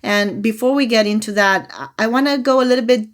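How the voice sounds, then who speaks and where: A woman's voice: a little high, fairly quick. A woman hosting a podcast interview, asking friendly, encouraging questions. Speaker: podcast host.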